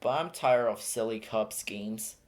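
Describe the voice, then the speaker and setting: A man's voice: lowish voice, measured pace, close to an everyday voice. A man reading a storybook aloud, voicing a grumpy, proud young male lion cub in a voice close to his own. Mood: sulky. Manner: sulky, grumbling.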